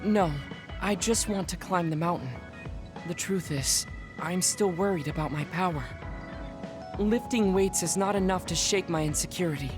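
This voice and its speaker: An actor playing a serious, formal young man in a game cutscene, speaking in a measured, polite way. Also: high-pitched, close to an everyday voice. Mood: resolute. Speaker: game character. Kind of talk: dubbed game cutscene dialogue. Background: game music.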